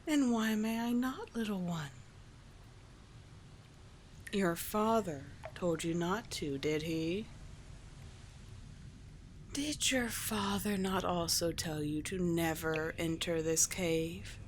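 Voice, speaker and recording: A woman voicing a calm, teasing sea witch with soothing, formal endearments in a scripted ASMR roleplay. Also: lowish voice, takes it slowly, long pauses, a little hiss or hum. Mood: gentle, teasing. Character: calm, courtly, teasing sea witch, gentle yet slightly monstrous.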